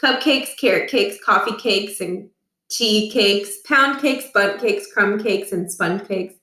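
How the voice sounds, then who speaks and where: A woman reading a children's storybook aloud with expressive, deliberate delivery in a roomy space. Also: medium pitch, far from the mic. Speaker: read-aloud reader. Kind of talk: scripted storybook narration. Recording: roomy, echoing room.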